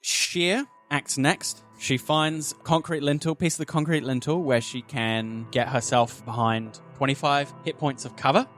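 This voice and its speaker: A man's voice: mid-range voice, steady tone, measured pace, few pauses, fairly bright tone, soft background music. A man narrating a tabletop game as game master, setting scenes dramatically.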